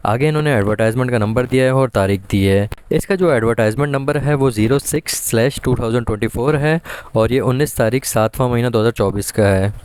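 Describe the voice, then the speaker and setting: A man's voice: mid-range voice, fast, darkish tone, slight background noise. A man with a Pakistani accent explains things methodically and informally, as a presenter giving guidance to candidates. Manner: instructional, methodical point-by-point explaining.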